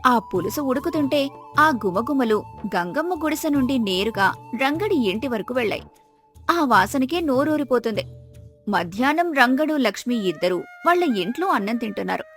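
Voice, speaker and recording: A woman narrator telling a scripted moral story in an expressive storytelling manner. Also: on the high side, a little fast, soft background music.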